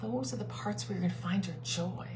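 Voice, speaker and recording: A woman's voice: medium pitch, fairly quick. A woman life coach giving an earnest, persuasive live-streamed talk to her online group, repeating her key phrases. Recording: steady background hum.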